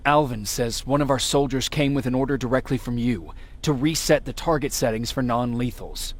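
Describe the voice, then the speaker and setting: An actor playing an urgent, commanding but steady resistance soldier in an action video game. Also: male voice, medium pitch, normal speed, close to an everyday voice. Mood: serious, concerned.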